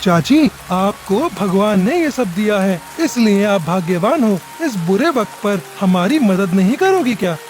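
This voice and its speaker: A man as a high, swooping dramatic cartoon figure. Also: big pitch swings, a little slow, few pauses, slight background noise. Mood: earnest. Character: male pigeon father, also solemn god of death. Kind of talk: children's animated moral story acting.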